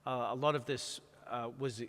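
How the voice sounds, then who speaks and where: A man speaking as a pastor who hosts a live conversational interview, with a halting delivery that often rephrases itself. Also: mid-range voice, steady tone, a bit bright. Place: church, before the altar. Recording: long echoey reverb of a large hall.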